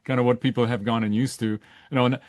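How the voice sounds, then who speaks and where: Male podcast host from Czechoslovakia, agreeable and chatty, mid pitch, dry room. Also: steady tone, fast, no room sound. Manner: agreeable, frequent yeahs and restarts. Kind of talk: casual interview conversation.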